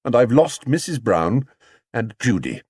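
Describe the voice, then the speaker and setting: A man's voice: medium pitch, big pitch swings, unhurried, a little mellow, husky, dry recording, studio quality. A man voicing a small, timid young bear in a storybook, polite, earnest and hesitant.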